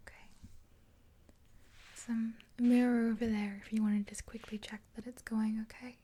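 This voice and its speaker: A woman in her twenties whispering a gentle ASMR roleplay in a breathy, unhurried, hesitant voice, close to the mic. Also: fairly high pitch, flat delivery. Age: 20s. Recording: hissy close recording with clicks.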